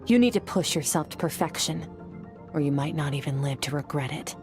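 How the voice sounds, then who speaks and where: A stern low-voiced narrator. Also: female voice, expressive, music in the background. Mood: grave. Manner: grave, measured.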